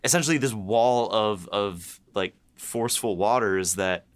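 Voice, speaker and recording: A man co-hosting a casual behind-the-scenes discussion, talking in a halting way with repeated words and many filler phrases. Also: on the low side, clean tone. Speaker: writer and podcast co-host.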